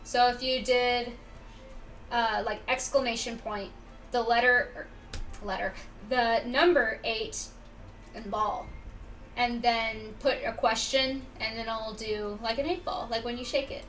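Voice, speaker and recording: A woman livestreaming a game, chatting casually to viewers in a halting, musing, informal way. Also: on the high side, fairly even intonation, a bit bright. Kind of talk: casual chat to stream viewers. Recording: slightly distant mic, faint background noise.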